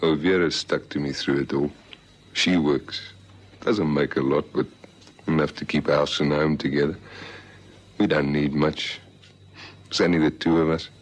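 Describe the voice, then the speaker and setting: An actor playing a wily, unruffled ex-convict with a smooth, folksy, evasive way of talking in a vintage radio crime drama. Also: male voice, a bass voice, steady tone, unhurried, pauses often. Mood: wry, guarded. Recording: vintage radio recording, faint noise.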